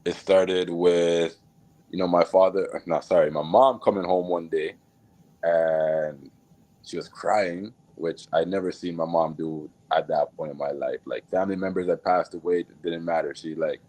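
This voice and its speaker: Jamaican-born guy, really low voice, laid back, lots of um and you know. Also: normal speed. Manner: relaxed, reflective, many fillers and laughs. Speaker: podcast guest. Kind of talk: casual storytelling interview.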